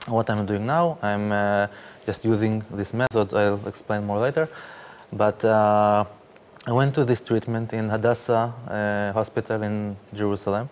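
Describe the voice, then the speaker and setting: Halting low-voiced man. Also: steady tone, a little mellow, slight background noise. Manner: halting, many fillers and repeated words. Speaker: interview guest, startup founder. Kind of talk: studio interview.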